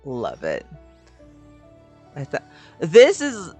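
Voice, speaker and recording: A woman's voice: low-pitched, huge pitch swings, extremely slow, mellow, husky, soft background music. A woman giving casual reaction commentary over a video game, with playful, informal asides. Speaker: game streamer.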